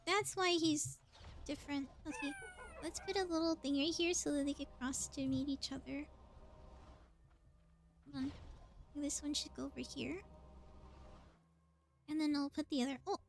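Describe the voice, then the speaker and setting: A woman's voice: sits high, fairly even intonation, normal speed, long pauses. A woman doing casual live game commentary as a streamer, chatty and thinking aloud as she plays.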